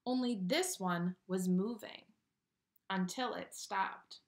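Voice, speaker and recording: A woman reading a children's picture book aloud with expressive, dramatic storytelling delivery. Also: medium pitch, slow pace.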